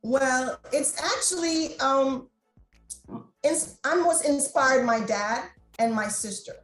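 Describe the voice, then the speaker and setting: High-voiced woman from Jamaica, chatty. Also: takes it slowly, reverberant, faint music behind, rough recording. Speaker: restaurant owner and guest. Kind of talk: interview conversation.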